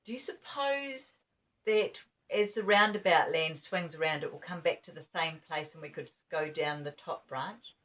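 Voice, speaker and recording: A woman's voice: low voice, moderate pace, fairly bright tone. An older woman reading a children's story expressively, as a grandmother would. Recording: dull, distant home recording.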